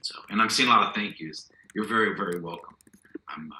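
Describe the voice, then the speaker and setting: A man giving an upbeat recruiting pitch on a video call, salesy and full of fillers. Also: fast talker, sharp tone, echoey room, a little hiss or hum, poor audio.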